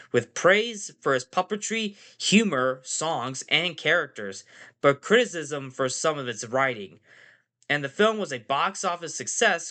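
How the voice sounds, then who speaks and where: A man with a cold hosts a casual movie podcast, his voice nasal and stuffy. He talks in a slightly raised, bright and pushing tone. He rambles, circling back with repeated phrases and fillers as he goes. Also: a little high. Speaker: podcast host.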